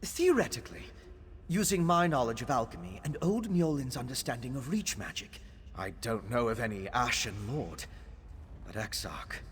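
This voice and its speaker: Pondering scholar in reverberant hall. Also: male voice, medium pitch, big pitch swings, normal speed, a bit bright. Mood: thoughtful. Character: thoughtful scholarly male alchemist investigating dark magic. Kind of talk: video game dialogue acting. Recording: long hall reverb, clear background noise.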